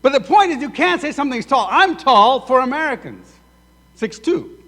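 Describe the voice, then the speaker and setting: A man's voice: very high voice, moderate pace. A man from Sudan preaching a sermon as pastor, acting out both sides of imagined conversations. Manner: animated, acts out both sides of dialogues. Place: church sanctuary. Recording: echoey hall reverb.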